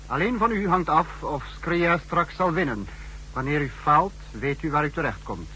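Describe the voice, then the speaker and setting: A man playing a stern, commanding secret service chief in a 1960s radio drama, with formal, measured delivery. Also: fairly high pitch, bright, forceful tone, a little hiss or hum, low-quality recording. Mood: stern, threatening. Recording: humming old 1960s radio recording.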